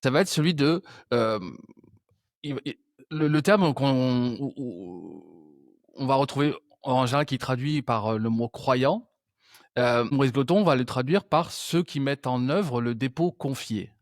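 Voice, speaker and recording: A man's voice: medium pitch, animated, unhurried, no echo, studio quality. Hesitant, musing male podcast host. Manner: thinking aloud, frequent restarts and hesitations. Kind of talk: reflective conversational interview.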